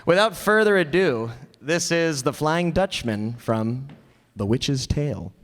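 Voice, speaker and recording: A man's voice: on the high side, animated, measured pace, a bit bright. A man hosting a live stage show with an informal, rambling and joking introduction. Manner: hesitant, rambling, joking with the audience. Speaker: host. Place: stage before a small audience. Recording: live hall with long reverb.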